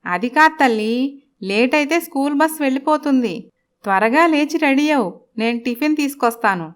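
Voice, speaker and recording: A woman voicing a harsh, nagging stepmother who dotes on her own daughter in an animated moral story. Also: high voice, brisk, clear, resonant tone, lightly acted. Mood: coaxing, doting. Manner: nagging, emphatic.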